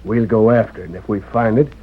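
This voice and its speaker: Husky, sly American cowboy crook. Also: male voice, fairly low pitch, steady tone, quick pace. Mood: sly bargaining. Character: sly, mercenary western crook, hard bargainer. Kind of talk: old-time radio western acting. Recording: thin, phone-like old radio broadcast.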